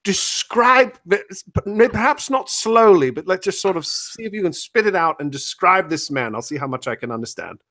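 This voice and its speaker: Casting: male actor as a testy Victorian detective, high-pitched, sarcastic, stammering, for comic radio parody. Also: lively intonation, normal speed, few pauses, bright, forceful tone, full character voice. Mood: testy, sardonic. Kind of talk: hammy improvised parody of old-time radio drama.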